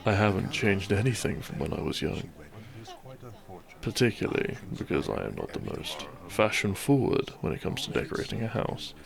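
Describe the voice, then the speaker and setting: Low, creaky-voiced devoted doctor played by a man. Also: big pitch swings, moderate pace, full character voice. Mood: thoughtful, agreeable. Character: gentle, devoted male country doctor and bridegroom. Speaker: audio drama voice actor. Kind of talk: romantic roleplay audio drama acting.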